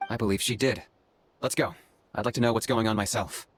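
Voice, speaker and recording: An actor playing a cool, pragmatic young warrior in a video game, level-headed and matter-of-fact. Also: male voice, fairly low pitch, fairly even intonation, a little fast, noisy background, close to an everyday voice. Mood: calm. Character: cool, pragmatic young male warrior who weighs costs. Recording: music under the voice.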